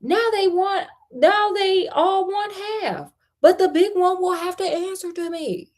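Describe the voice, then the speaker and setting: A woman's voice: very high-pitched, a little slow, a little mellow, pitched above the performer's own voice. A woman with an American accent voicing a foolish, stubborn male peasant in a storybook, blustering and indignant as he complains.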